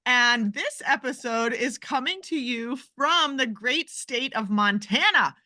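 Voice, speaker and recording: Bright, upbeat woman podcast host. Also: a little high, expressive, slow pace, no room sound, studio quality. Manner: upbeat, enthusiastic greetings. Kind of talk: podcast interview hosting.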